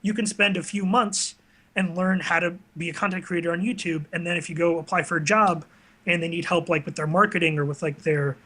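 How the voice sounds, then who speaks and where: A man's voice: fairly high pitch, steady tone, a little fast, sharp tone. A man hosting a casual talk show, speaking conversationally with an enthusiastic manner.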